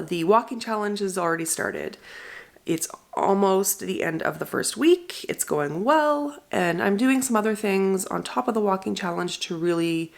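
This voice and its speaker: A woman's voice: on the low side, creaky. A woman in her thirties vlogging casually, nasal and stuffed up from a head cold. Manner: chatty, rambling explanations. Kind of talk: casual vlog talk.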